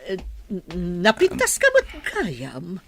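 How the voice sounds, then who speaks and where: Haughty, husky rich mother played by a woman. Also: fairly low pitch, huge pitch swings, slow pace, fairly bright tone, exaggerated voice. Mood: prying. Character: proud wealthy mother of a grown son. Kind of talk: melodramatic radio drama acting.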